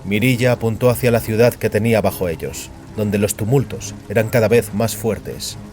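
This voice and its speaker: A man narrating dramatic fiction in a solemn, measured way. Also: lowish voice, a little mellow, soft background music.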